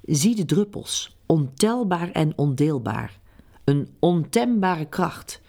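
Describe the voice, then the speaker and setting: A woman reading a meditative, incantatory text aloud, guiding listeners in a slow, hushed way. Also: low voice, very animated, creaky. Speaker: narrator. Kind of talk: scripted meditative narration.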